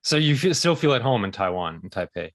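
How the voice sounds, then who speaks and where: A man hosting a podcast, asking measured questions calmly and giving short acknowledgements. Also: mid-range voice. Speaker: podcast host. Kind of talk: interview questions.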